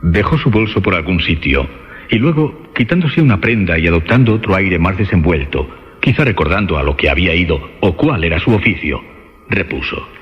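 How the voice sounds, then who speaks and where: An actor playing the grave narrator of a suspense radio play, a man telling it in a measured, ominous way. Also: low-pitched, animated. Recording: reverberant, dull radio sound with music.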